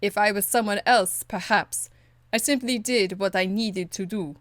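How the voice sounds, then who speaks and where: A woman's voice: mid-range voice, moderate pace, no room sound, natural voice. A woman voicing a blunt, stern and dutiful warrior in a video game, speaking in a curt, earnest, formal way. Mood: dry, blunt. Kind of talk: video game dialogue acting.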